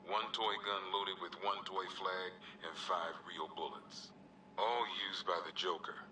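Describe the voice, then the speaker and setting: An actor playing a gruff, world-weary American police officer, logging evidence for a video game in a terse, streetwise way with dry, wry asides. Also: male voice, deep voice, steady tone, a little slow, bright, forceful tone, distant mic, a little hiss or hum, full character voice, poor audio. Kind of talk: scripted video game evidence log narration.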